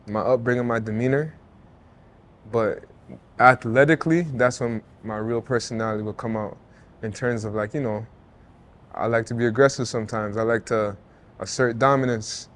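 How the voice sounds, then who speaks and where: Laid-back man, mid-pitched. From Jamaica, raised in Toronto. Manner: laid-back and earnest, with frequent "you know" and "like" and some restarts. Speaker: interview guest, former football player and artist. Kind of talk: casual reflective interview talk.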